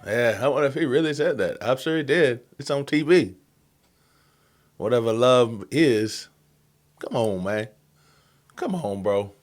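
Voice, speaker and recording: A man's voice: medium pitch, lively intonation, unhurried, pauses often. A man giving casual, slangy reaction commentary as the host of the video. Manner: colloquial, slangy.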